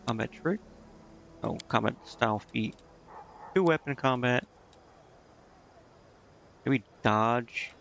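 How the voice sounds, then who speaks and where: Gravelly male game streamer thinking aloud. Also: medium pitch, takes it slowly, long pauses, fairly bright tone, a little hiss or hum. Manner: thinking aloud, hesitant, muttering to himself. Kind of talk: casual live gameplay commentary.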